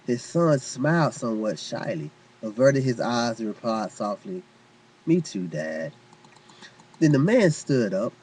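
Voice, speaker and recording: A man reading an inspirational first-person story aloud as a storyteller, with earnest, deliberate phrasing and dramatic pauses. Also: medium pitch, darkish tone, slightly rough, low-quality recording.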